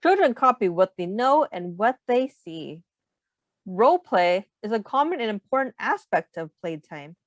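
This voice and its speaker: A woman reading aloud in an instructive, deliberate way with expressive emphasis. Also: on the high side, no room sound, muffled recording. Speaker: teacher reading a picture book aloud. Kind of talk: read-aloud narration.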